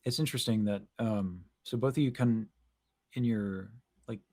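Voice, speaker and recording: A man's voice: fairly low pitch, moderate pace, darkish tone. A young man hosting a casual chat, explaining vocal technique in an analytical, detailed way. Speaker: host, vocal coach. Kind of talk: casual video-call chat about singing.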